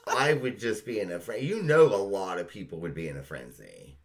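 A middle-aged man reminiscing casually with a friend as a podcast host, gushing and sweary and quick to laugh. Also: fairly low pitch, big pitch swings.

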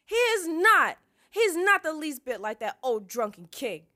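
Donna che interpreta una ragazzina nobile petulante, voce acutissima. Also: female voice, on the high side, expressive, normal speed, fairly bright tone, pitched above the performer's own voice. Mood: screaming, upset. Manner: wailing, dramatic, insistent. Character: petulant lovestruck young noble girl, tearfully insistent. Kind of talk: acted audiobook-like reading from a novel.

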